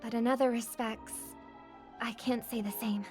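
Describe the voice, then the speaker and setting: A woman plays a gracious young idol from a game, idealistic and earnest. Her voice sits fairly high and she speaks politely and with measure over faint music. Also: fairly even intonation, normal speed, a little mellow, close to an everyday voice. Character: gracious, idealistic young female celebrity singer. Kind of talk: video game voice acting.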